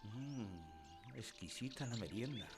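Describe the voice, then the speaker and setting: An actor playing a kindly old village schoolmaster in a radio drama, speaking formally and politely. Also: male voice, lowish voice, animated, slow. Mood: polite, modest. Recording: clear music behind the voice.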